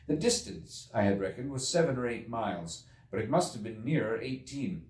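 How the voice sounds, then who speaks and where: A man voicing an earnest Victorian gentleman time traveler recounting his ordeal in a storybook reading, formal, brooding and deliberate. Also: mid-range voice, animated, close to an everyday voice. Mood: reflective. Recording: echoey room, distant from mic.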